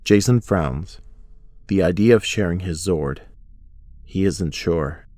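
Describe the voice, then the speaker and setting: A man's voice: low voice, takes it slowly, warm tone, no echo. A man reading scripted narration for an audio drama.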